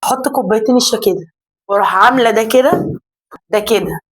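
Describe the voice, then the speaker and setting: A woman with an Egyptian accent, hoarse because her voice is going, chatting casually to her viewers at home in a family vlog. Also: on the high side, fast. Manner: chatty, addressing viewers directly. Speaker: vlogger. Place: home kitchen and sitting area.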